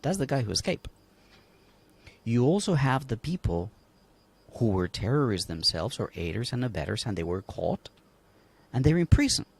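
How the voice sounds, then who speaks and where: A man's voice: medium pitch, big pitch swings, normal speed, vocal fry, long pauses, a little mellow, slightly rough. A man from Colombia speaking emphatically on a livestream panel, asking rhetorical questions. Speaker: panel guest.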